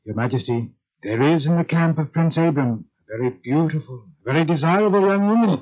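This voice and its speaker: A stammering, fawning courtier. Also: male voice, a little high, expressive, brisk. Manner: stammering, obsequious. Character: fawning male courtier, nervous and eager. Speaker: royal courtier. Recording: dull lo-fi old recording.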